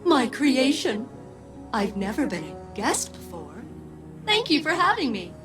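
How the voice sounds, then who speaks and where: A woman's voice: high-pitched, very animated, measured pace, music in the background. A woman voicing a cheerful, quirky female artificial intelligence in a video game, bubbly yet literal and precise in her wording. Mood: eager, polite. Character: cheerful, quirky female ship AI, eager and sincere. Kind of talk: video game cutscene acting.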